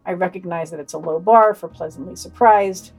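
A woman's voice: lowish voice, fairly quick, a little mellow, soft background music, low-quality recording. A woman co-hosting a podcast, reading news items aloud and adding wry, dry asides. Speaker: podcast co-host.

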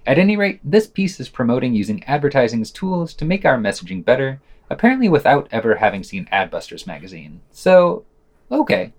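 A man's voice: medium pitch, sing-song, fairly quick. A man presenting a podcast, reading scripted reviews with dry commentary. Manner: reads quotations aloud, marking quote and unquote.

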